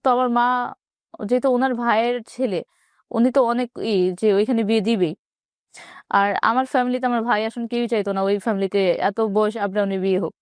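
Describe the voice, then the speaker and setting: A young Bangladeshi woman recounts her own life in an interview. Her voice sits a little high and moves quickly, matter-of-fact in delivery. The sound is dry, with no room around it. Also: steady tone, smooth, no room sound. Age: 20s. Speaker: interview guest telling own life story. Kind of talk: personal storytelling in an interview.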